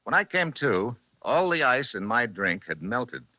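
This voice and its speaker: An actor playing a tough, wry American investigator in a clipped, matter-of-fact 1940s radio drama style. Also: male voice, mid-range voice, big pitch swings, measured pace, bright, forceful tone, no echo. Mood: confident, accusing. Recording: muffled vintage 1940s radio recording.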